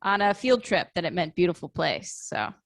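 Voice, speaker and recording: A woman's voice: lowish voice, big pitch swings, moderate pace, creaky, dry recording, studio quality. An American woman as a podcast guest, telling casual anecdotes with joking exaggeration. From Illinois, United States.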